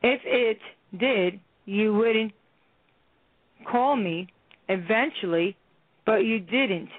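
A woman acting a stern female counselor and therapist in a radio play, speaking slowly and firmly. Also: medium pitch, no echo. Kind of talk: amateur radio play reading. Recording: tinny phone-line radio broadcast.

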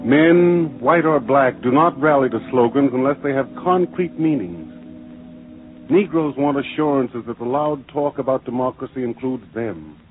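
A man's voice: mid-range voice, animated, normal speed, music in the background, natural voice. A man narrating a 1940s radio documentary drama in a grave, oratorical manner. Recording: noisy, tinny old radio broadcast.

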